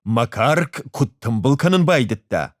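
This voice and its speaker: A man reading a short story aloud as an expressive literary narrator. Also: mid-range voice, measured pace, no room sound, studio quality.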